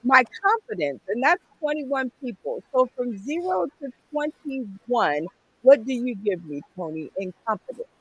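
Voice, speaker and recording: A woman's voice: a little high, very animated, unhurried, a bit bright, breathy edge, dull audio. A middle-aged woman hosting a comedy panel, playful and teasing, laughing often. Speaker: host.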